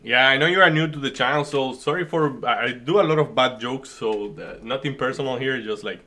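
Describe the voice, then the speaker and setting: This is a man from the Dominican Republic who speaks with a Dominican Spanish accent, Spanish being his first language. His voice is bright and forward. He chats loosely and jokingly while calling trades, often breaking off and restarting his sentences. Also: medium pitch, brisk. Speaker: day trading streamer host. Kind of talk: casual live-stream chat and market commentary.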